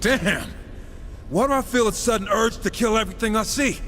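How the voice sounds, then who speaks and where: A man's voice: high voice, moderate pace, a bit bright, a little hiss or hum. A man playing a hot-headed, sarcastic warrior in a game cutscene, wry and swaggering. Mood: flippant. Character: cocky, sarcastic, hot-headed male horseman warrior gunslinger. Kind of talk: video game cutscene acting.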